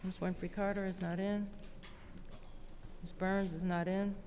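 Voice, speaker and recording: A woman's voice: fairly low pitch, measured pace, clean tone. A woman clerk calling the roll at a city council meeting in a routine way. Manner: flat, routine roll-call reading. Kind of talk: reading a roll call. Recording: distant, reverberant, faint noise.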